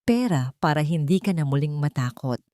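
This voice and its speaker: A scheming young woman from a romantic audio drama, as a female narrator plays her. She is icy and calculating, pressing each threat with cool menace. The voice sits fairly low, in a dry recording. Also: lively intonation, normal speed, warm tone, dry recording. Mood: cold, coaxing menace. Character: cold, scheming young woman blackmailing her former lover.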